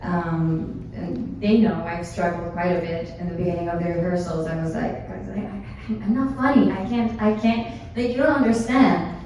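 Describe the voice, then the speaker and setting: A woman, speaking haltingly on a panel and often searching for her words. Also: on the low side, steady tone, dark tone, slight background noise. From Iran. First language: Persian. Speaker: actor on a panel. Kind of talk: casual panel talk. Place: theater stage. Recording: echoey hall, distant mic.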